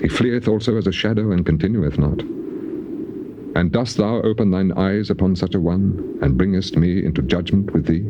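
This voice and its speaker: Deep, theatrical man righteous sufferer. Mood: mournful, resigned. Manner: declamatory, impassioned, with weighty pauses. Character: suffering, defiant righteous man pleading with God. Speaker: actor in dramatized scripture recording. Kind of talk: dramatized archaic scripture, theatrical declamation. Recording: dull, noisy old cassette tape recording.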